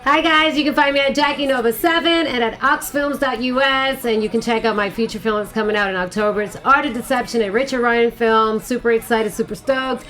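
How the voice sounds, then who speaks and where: A woman's voice: on the high side, brisk, hardly any pauses, a bit bright, faint music behind. A woman chatting casually as a panelist on a TV recap show.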